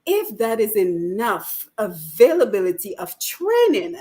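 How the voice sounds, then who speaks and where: A woman's voice: mid-range voice, very animated, unhurried, warm tone. A woman hosting a radio talk show, posing questions to her guests and reading out viewer comments. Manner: reads out viewer comments, hands the floor to guests.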